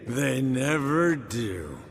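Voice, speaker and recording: An actor playing an easygoing, rugged monster hunter in a fantasy game, speaking in clipped, colloquial phrases. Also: male voice, mid-range voice, sing-song, drawn-out words, long reverb tail, a little hiss or hum.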